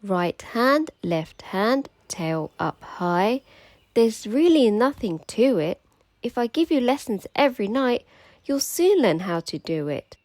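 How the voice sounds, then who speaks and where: A woman voicing a brisk, encouraging female circus acrobat in a children's storybook, with a bouncy, instructive manner. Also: fairly high pitch, sing-song, a little mellow, no echo, voice pushed up.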